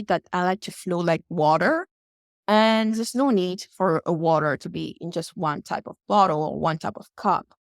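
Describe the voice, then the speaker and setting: A young woman from West Africa, a podcast guest, talking about her background in a calm, reflective, unhurried way. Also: on the low side, dry recording, studio quality. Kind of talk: casual interview talk.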